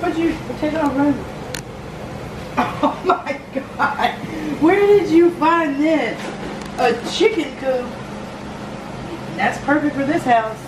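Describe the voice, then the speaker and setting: A middle-aged mother exclaiming excitedly while opening gifts in a home kitchen, filmed on a handheld camera. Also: sits high, slow, pauses often. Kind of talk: casual family chat. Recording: echoey kitchen with loud noise.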